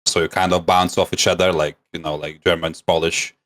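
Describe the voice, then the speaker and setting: Low-voiced man with a Lithuanian English accent. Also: flat delivery, a little fast, fairly bright tone. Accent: Lithuanian English, mixed. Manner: rambling, many fillers and restarts. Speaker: guest, streamer. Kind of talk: casual podcast chat.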